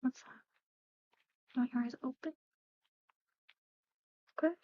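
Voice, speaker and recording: A woman performing a whispered ASMR medical roleplay, explaining procedures softly in an unhurried, breathy voice on a slightly muffled recording. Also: high-pitched, fairly even intonation, dry recording. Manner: hushed, unhurried, gently explaining.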